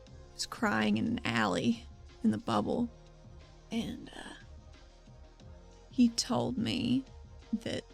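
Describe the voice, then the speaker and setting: Creaky high-voiced woman roleplaying, halting. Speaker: player in a tabletop role-playing show. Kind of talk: improvised in-character roleplay. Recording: music bed behind the voice.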